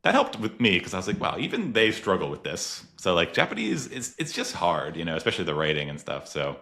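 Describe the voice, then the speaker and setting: A man's voice: low-pitched, huge pitch swings, brisk, vocal fry, bright, forceful tone. A man hosting a podcast interview, talking in an easygoing, chatty way with lots of fillers and frequent laughs. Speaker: podcast host. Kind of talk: casual conversational interview.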